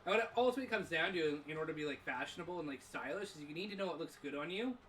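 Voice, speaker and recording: A man's voice: a little high, animated, very fast, a bit bright, low-quality recording. A man casually chatting while playing a wrestling video game, rambling and self-assured with lots of filler words. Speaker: gaming channel co-host. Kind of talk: casual chat over gameplay. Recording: game crowd noise underneath. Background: arena crowd from the video game.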